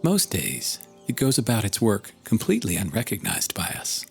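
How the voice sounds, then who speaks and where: Man, somewhat low pitch, animated intonation, contemplative reading, solemn, measured, long pauses. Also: vocal fry. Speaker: author reading own book. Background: music.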